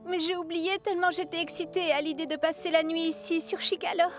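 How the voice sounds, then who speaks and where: A woman's voice: very high-pitched, level tone, a little fast, faint music behind. A woman voicing an eager, exclamatory young female train engine in a children's cartoon. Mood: sheepish. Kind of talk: children's cartoon dub acting.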